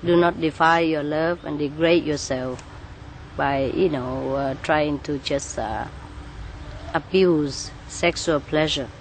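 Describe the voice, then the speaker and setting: A woman from Vietnam, answering interview questions as a spiritual teacher in an earnest, unhurried way, with soft laughs and filler pauses. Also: low voice, a little hiss or hum.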